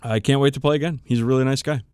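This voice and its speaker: A man's voice: mid-range voice, rapid-fire, clear, resonant tone, no echo, studio quality. A man co-hosting a podcast, chatting casually and swearing freely as he tells stories. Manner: profane, animated storytelling about tabletop games. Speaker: podcast co-host. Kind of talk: casual chat between friends.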